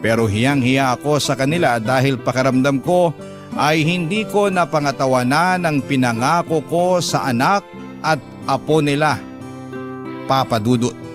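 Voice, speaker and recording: A man playing an earnest young father who narrates his own story in a Filipino radio drama, reflective and measured. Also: mid-range voice, music in the background, close to an everyday voice. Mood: reflective, regretful.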